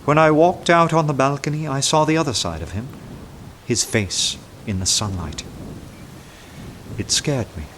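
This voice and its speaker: An actor playing an earnest, awestruck detective who narrates a radio drama in an intense, dramatic manner. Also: male voice, mid-range voice, moderate pace, long pauses, slight background noise, close to an everyday voice. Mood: awed. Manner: intense, dramatic, hushed at times.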